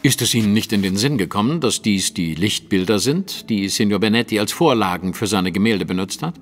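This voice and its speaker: An actor playing a precise, superior, analytical detective in an audio drama, with a didactic and pointed delivery. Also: male voice, fairly low pitch, lively intonation, brisk, big-room sound, faint music behind. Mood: puzzled, pointed.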